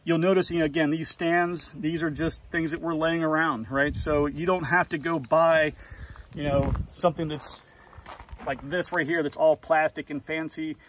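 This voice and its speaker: A man in a casual outdoor how-to walkthrough, showing his hive gear in an apiary yard, relaxed and practical, with lots of "uh" and "right?" fillers. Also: a little high, moderate pace, slight background noise.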